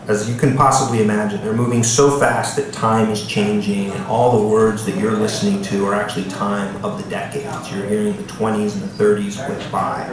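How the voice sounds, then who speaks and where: Low-voiced man in a very echoey room. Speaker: author reading from own novel at a bookstore. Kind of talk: live literary reading with casual asides to audience. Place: indoor bookstore reading before an audience. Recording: echoey room, distant mic, background noise.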